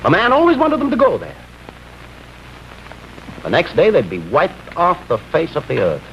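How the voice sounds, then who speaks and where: An actor with an American accent playing a frustrated, brooding police detective in a vintage television drama. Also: male voice, a little high, huge pitch swings, moderate pace, creaky, fairly bright tone, heavily acted. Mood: grave, intent. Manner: restless, brooding, emphatic. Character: frustrated, obsessive male police detective and husband. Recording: muffled, faintly noisy vintage television soundtrack.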